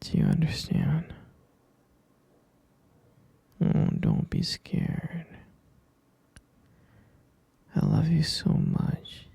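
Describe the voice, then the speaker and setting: A man acts out an obsessive, lovesick captor in an intimate ASMR roleplay. The voice is a very dark, husky whisper full of heavy creak. He coaxes slowly and possessively, tender with an underlying menace. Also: on the high side, wildly swooping pitch, dry recording, heavily acted. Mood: defensive, possessive, then soothing, obsessive. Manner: slow, coaxing, repetitive, possessive endearments.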